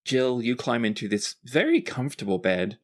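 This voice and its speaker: A man's voice: medium pitch, big pitch swings, normal speed. A man acting as game master, narrating a tabletop roleplaying game in a casual, conversational way.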